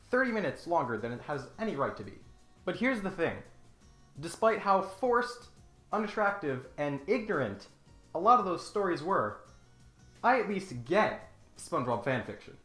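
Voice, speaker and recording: Animated, bright-voiced man hosting comedy critique. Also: on the high side, echoey room. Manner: mock-grandiose, sarcastic, emphatic outbursts. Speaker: YouTube comedy host. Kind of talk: scripted comedic critique with dramatic readings.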